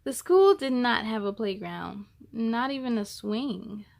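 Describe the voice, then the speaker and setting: A woman reading a children's picture book aloud in an expressive, measured storytelling style. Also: fairly high pitch, gravelly.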